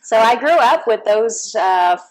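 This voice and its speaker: A woman's voice: medium pitch, a little slow, low-quality recording. Chatty woman guest in her thirties. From Canada. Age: 30s. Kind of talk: casual chat over a meal. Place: restaurant table.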